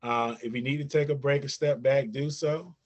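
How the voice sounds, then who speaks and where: A man's voice: mid-range voice, steady tone, normal speed, dull audio. A man speaking earnestly on an online panel, repeating words as he urges his movement toward unity. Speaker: veteran movement leader, panelist.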